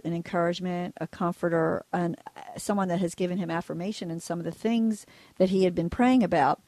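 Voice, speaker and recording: Raspy woman host, low voice, dry sound, chatty and joking. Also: no echo. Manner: warm, joking conversational hosting. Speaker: radio show host.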